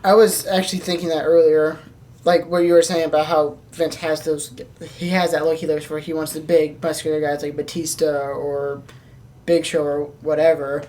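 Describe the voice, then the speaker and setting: A woman's voice: sits low, little pitch movement, moderate pace, mellow. A woman co-hosting a podcast in casual conversation with the other hosts. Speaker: podcast co-host. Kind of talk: casual chat.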